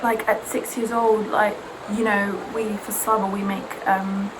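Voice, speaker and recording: A woman's voice: medium pitch, a little slow, background noise. A young woman whose first language is Serbian, chatting casually and sarcastically while getting ready, often mimicking others. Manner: animated, sarcastic, mimics aunties. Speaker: vlogger.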